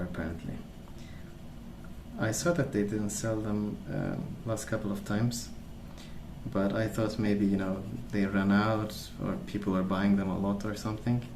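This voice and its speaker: A man rambling casually in a soft-spoken ASMR style, hesitant and meandering as he hosts. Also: fairly low pitch, gravelly, roomy sound, noisy background. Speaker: ASMR video host.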